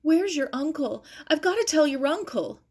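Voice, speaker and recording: A woman's voice: sits high, expressive, moderate pace, a little mellow, voice pushed up. A woman voicing an anxious, plucky young Cockney girl from London in a storybook reading. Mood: alarmed. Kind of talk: acted children's audiobook dialogue.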